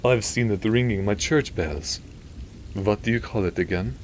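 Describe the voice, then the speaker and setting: Male reader playing a wry storybook priest, composed and gently teasing, voice lifted, light rain noise underneath. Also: on the low side, moderate pace, voice pushed up, natural voice. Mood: insistent. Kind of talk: audiobook character dialogue.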